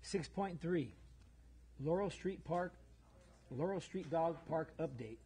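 A man's voice: a little high, lively intonation, takes it slowly, dark tone, husky. A man chairing a city council meeting, reading a motion aloud in a formal procedural tone. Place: council chamber.

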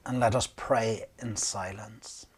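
A man in his fifties, a minister speaking communion liturgy and prayers in a measured, solemn way with deliberate pauses. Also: on the low side, steady tone, breathy edge. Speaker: minister leading worship. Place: small room indoors, at a desk.

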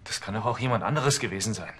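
An actor playing a rattled, defensive male suspect under police questioning in a TV crime comedy, with a rough voice. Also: fairly low pitch, animated, quick pace, sharp tone, a little hiss or hum. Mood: indignant, defensive. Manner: defensive, protesting. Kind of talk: TV comedy crime series acting.

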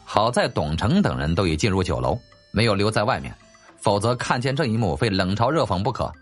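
一位男演播者用戏剧化又略带调侃的口吻讲述历史小说有声书。. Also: male voice, lowish voice, sing-song, fairly quick. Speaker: audiobook narrator. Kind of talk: dramatic storytelling narration of a historical novel. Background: faint background music.

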